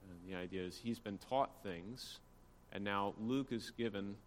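A man's voice: lowish voice, normal speed. A man giving an informal church history lecture, explaining things conversationally with casual asides. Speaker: church teacher. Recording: long hall reverb, faint background noise.

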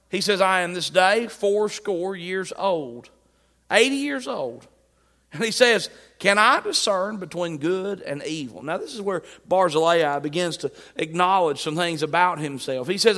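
High-voiced preacher in a reverberant hall. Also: male voice, fairly bright tone. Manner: emphatic preaching, paraphrasing scripture in plain talk. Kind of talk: sermon.

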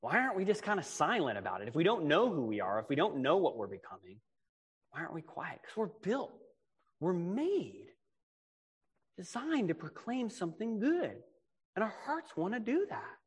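A man's voice: high voice, huge pitch swings, normal speed, husky. Man, conversational preacher, big projecting voice, swooping intonation, casual self-deprecating manner, long hall reverb. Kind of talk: conversational sermon to a congregation. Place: large reverberant room.

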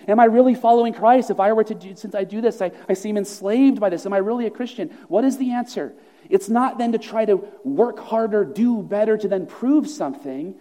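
A man preaching A pastor delivers an earnest, emphatic sermon, leaning on repeated phrases to drive each point home. His voice sits fairly high but sounds mellow and soft-edged. Long reverb from a large church hall trails after his words. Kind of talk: sermon and closing prayer.

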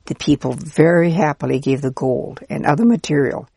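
A reader voicing a kind, patient male priest who gently explains things in a storybook. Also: mid-range voice, normal speed, gravelly, breathy edge, dry recording, studio quality. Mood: kindly explaining. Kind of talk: storybook character reading.

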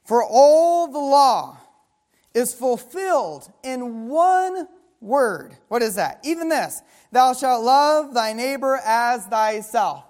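Female preacher, high pitch, call-and-response sermon, slow scripture reading. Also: expressive, a little mellow.

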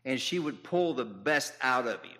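Heartfelt man with a bright voice. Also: medium pitch, expressive, normal speed. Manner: heartfelt, repeating praise. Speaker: coach speaking at a memorial. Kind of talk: memorial tribute.

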